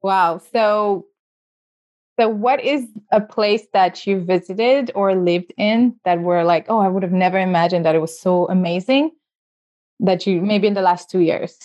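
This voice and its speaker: A woman's voice: mid-range voice, measured pace, darkish tone. A woman hosting a podcast, asking long, rambling questions in a chatty way and laughing often, with a slightly dull sound. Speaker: podcast host. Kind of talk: casual interview hosting.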